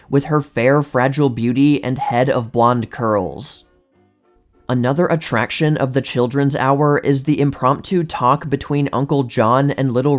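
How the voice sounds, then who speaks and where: A man reading vintage magazine articles aloud as a scripted narration, in a measured, precise manner. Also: medium pitch.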